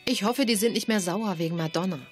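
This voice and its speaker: A woman playing a brisk, weary secret agency colleague in a scripted audio drama, speaking in a dry, matter-of-fact way. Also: mid-range voice, soft background music, natural voice. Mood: grumbling.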